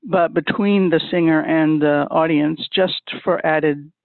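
A man speaking for a music school, explaining plans in a measured way with many pauses. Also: on the high side, fairly even intonation, vocal fry, dry recording. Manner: measured, pausing with many uhs. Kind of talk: casual explanation. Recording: dry, tinny phone line.

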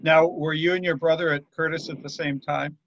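Man host, higher voice, halting questions, muffled line, faint hiss. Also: level tone, a bit bright. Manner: hesitant, repeats words while asking. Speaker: radio host. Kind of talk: interviewing a guest.

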